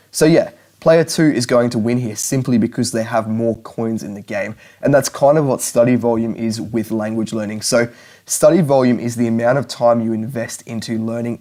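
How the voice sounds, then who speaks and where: A man's voice: mid-range voice, a little mellow. A young man talking straight to camera, giving earnest language-learning advice and often restarting his sentences. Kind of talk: direct-to-camera advice talk.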